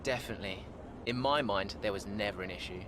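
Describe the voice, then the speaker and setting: An actor playing a cocky, self-assured young rookie driver giving a post-race interview in a game. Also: male voice, lowish voice, fast, close to an everyday voice. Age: young adult. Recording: clear outdoor vehicle noise.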